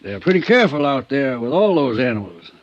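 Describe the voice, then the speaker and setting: An American actor playing a folksy, proud older country veterinarian in a 1950s radio drama, defensive when questioned. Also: male voice, mid-range voice, big pitch swings, a little fast, slight background noise, lightly acted. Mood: guarded, explanatory. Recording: dull vintage radio recording.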